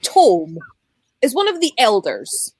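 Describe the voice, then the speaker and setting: A woman's voice: a little high, huge pitch swings, takes it slowly, slightly rough, no room sound. A woman in a casual live book chat, speaking in a blunt, slangy and colloquial way. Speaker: book discussion panellist.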